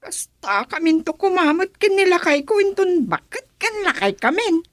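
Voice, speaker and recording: A woman's voice: very high voice, big pitch swings, normal speed, no room sound. A woman playing a cheerful, respectful village woman in an Ilocano radio comedy drama. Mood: cheerful, amused.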